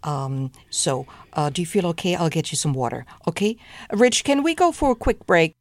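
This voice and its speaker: Low-voiced woman hosting a show. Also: sing-song, moderate pace, a little mellow. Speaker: show host. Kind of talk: casual interview chat.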